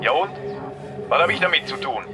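A man's voice: mid-range voice, expressive, brisk, loud music behind the voice, natural voice. An actor playing a curt, dismissive man on a phone call in a German audio drama. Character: curt, unbothered male contact on the phone.

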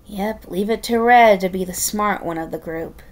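A woman reading a children's story aloud in an expressive, halting way, with casual chatty asides. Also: mid-range voice. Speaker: storybook reader.

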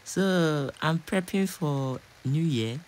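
A man's voice: a little high, slow, slight background noise. A man from Nigeria with a Nigerian accent, chatting casually and repeating phrases as a home cook narrating a cooking vlog.

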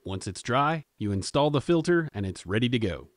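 A man's voice: medium pitch, sing-song, normal speed, no echo. Upbeat man in a dry workshop. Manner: upbeat, jokey how-to delivery. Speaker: woodworking channel host. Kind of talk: scripted workshop narration. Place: home woodworking shop.

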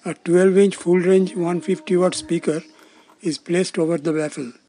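A man's voice: high voice, steady tone, normal speed, warm tone, soft background music. A man giving scripted, step-by-step narration as the presenter of a technical demonstration. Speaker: presenter of a loudspeaker testing demonstration.

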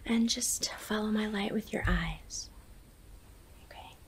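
A woman in her thirties whispering in an ASMR eye exam roleplay, hushed and breathy, gently guiding close to the microphone. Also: mid-range voice, slight background noise. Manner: slow, hushed, gently instructing. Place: small indoor studio with dark backdrop.

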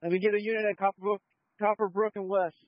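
A man's voice: high voice, flat delivery, fast, dry recording. A man, a police officer coordinating units informally over a police radio. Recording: muffled police scanner radio audio.

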